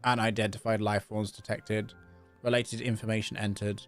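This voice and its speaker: A man voicing a polite, formal, flattering clockwork robot while reading game dialogue aloud. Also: on the low side, normal speed, soft background music, lightly acted. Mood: courteous, formal. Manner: stiff, formal, deferential.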